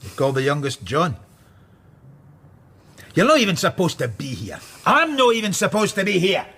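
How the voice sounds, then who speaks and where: An actor playing a sardonic, blunt Scottish police inspector in a radio drama. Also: male voice, high-pitched, wildly swooping pitch, moderate pace, a bit bright, breathy edge, heavily acted. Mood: scolding. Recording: faint background noise.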